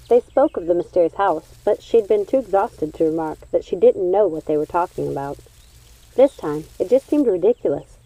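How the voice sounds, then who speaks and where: A woman reading a storybook aloud as an expressive audiobook narrator. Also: lowish voice, no room sound, rough recording.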